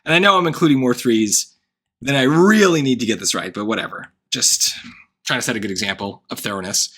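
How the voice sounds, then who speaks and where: A man tutoring SAT math, thinking aloud through a worked problem with wry asides, his voice going hoarse. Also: mid-range voice, a little fast, fairly bright tone. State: voice getting hoarse. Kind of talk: casual worked-example lesson.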